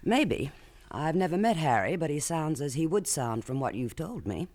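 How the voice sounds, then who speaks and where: A coy, flirtatious secretary. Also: female voice, low-pitched, big pitch swings, moderate pace, a little mellow, slight background noise. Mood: coy polite. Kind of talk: 1970s radio drama acting.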